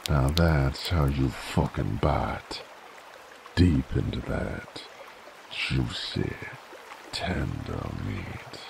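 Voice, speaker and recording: A man playing a gruff, menacing werewolf bounty hunter in an audio roleplay, with an American Southern accent and a folksy, threatening cowboy drawl. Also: expressive, very slow, heavily acted. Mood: dark, menacing. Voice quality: very deep, gravelly. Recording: clear trickling stream noise behind. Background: flowing water ambience.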